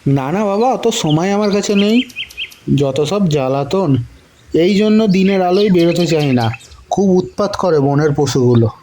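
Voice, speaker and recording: High-pitched grumpy jackal played by a man. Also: expressive, measured pace, mellow, slight background noise. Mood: annoyed. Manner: irritable, muttering complaints. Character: grumpy, impatient, scornful jackal. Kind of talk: children's cartoon voice acting.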